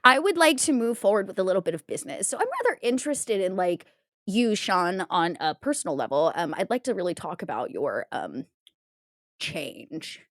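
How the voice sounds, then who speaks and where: A woman's voice: lowish voice, expressive, normal speed, a bit bright, no room sound, studio quality. A woman playing a flighty, vain and ruthless vampire crime upstart, with breezy valley-girl filler talk in an improvised roleplay game. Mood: chatty, businesslike. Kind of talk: improvised in-character roleplay dialogue.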